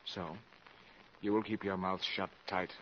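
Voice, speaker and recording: A smooth, scheming valet, vintage radio. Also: male voice, deep voice, little pitch movement, measured pace. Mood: bold, intimate. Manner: formal, deferential yet self-assured. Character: smooth, calculating, ambitious valet addressing a countess. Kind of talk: 1950s American radio drama acting. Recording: muffled vintage 1950s radio recording.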